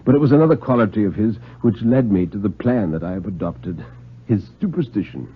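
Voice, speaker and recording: Man acting a muffled, scheming old British gentleman. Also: on the low side, animated, a little fast, slight background noise, lightly acted. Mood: scheming, confident. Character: genial, scheming old retired police knight hosting dinner. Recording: muffled 1950s radio recording.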